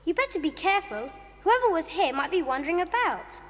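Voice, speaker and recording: Very high-voiced watchful child, echoey. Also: female voice, sing-song, a little fast. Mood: wary. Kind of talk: children's audio drama acting. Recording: echoey reverberant hall, noisy.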